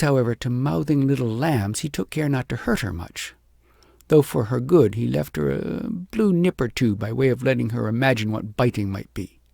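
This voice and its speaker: A man reading a children's story aloud as a scripted, expressive audiobook narrator. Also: medium pitch, gravelly, dry recording, studio quality.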